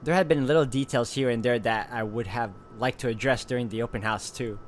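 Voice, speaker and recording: A man's voice: medium pitch, fairly quick, a little hiss or hum. A man hosting a let's play, reading visual novel narration aloud and dropping in casual asides.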